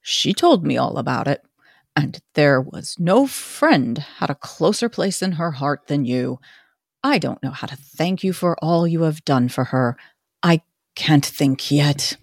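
A woman voicing a grief-stricken, earnest young gentleman in a melodramatic reading of a Victorian gothic novel. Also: deep voice, animated, dry recording, clean studio sound, full character voice. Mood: choked gratitude. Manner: slow, drawn-out, overwrought melodramatic delivery.

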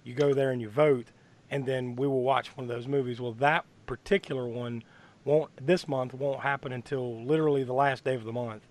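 A man hosting a horror movie podcast, hoarse from bronchitis, talking in a rambling way with many fillers and restarts. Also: medium pitch, fairly even intonation, fairly quick. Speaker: podcast host. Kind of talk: casual podcast chat.

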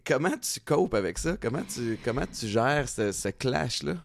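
A man's voice: mid-range voice, animated, moderate pace, creaky, no echo. A man with a Quebec French accent, hosting a casual interview and circling informally toward his questions. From Montreal, Canada. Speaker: podcast host.

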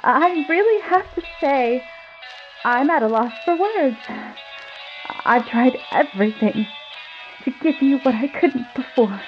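A woman voicing a creepy, unstable housewife who speaks in a sweet, drawn-out way. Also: high voice, sing-song, highs cut off, faint music behind, pitched above the performer's own voice, heavily acted. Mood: trembling. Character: eerie smiling housewife, sugary and unstable.

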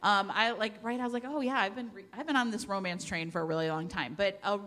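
A woman's voice: medium pitch, quick pace, fairly bright tone. Chatty woman in an echoey hall. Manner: chatty, self-deprecating, heavy use of "like". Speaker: panelist recommending books. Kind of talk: casual chat before a live group. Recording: echoey hall with long reverb.

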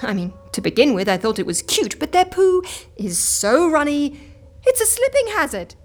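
A woman's voice: high-pitched, sing-song, normal speed, faint music behind. An actress playing an exasperated, overworked agency woman in an audio comedy, flustered and emphatic in manner. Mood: overwhelmed ranting. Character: exasperated, overworked agency woman barely holding chaos together. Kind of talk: audio comedy fiction acting.

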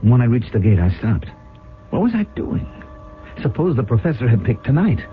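A man's voice: mid-range voice, sing-song, normal speed, a little hiss or hum, close to an everyday voice. An actor in a 1970s radio drama playing an anxious, ambitious businessman, uneasy and dramatic as he is drawn into a guilty scheme. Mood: brooding narration. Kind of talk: 1970s radio drama acting, first-person narration. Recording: phone-like vintage radio recording, faint music.

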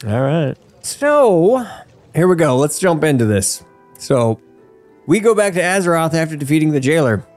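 Animated, jokey male podcast host. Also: a little high, normal speed, faint music behind. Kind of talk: casual podcast chat about game lore.

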